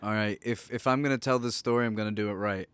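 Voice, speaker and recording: A man's voice: fairly low pitch, steady tone, quick pace, fairly bright tone, clean tone, no echo. A young man hosting a casual podcast, joking, laughing often and swearing while telling a story. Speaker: podcast host.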